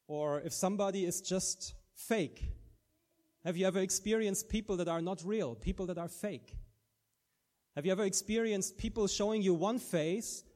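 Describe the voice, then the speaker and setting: German guy giving a sermon, accent, kinda high voice but dark sounding, repeats words a lot. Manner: earnest, frequent restarts and repeated words. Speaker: preacher.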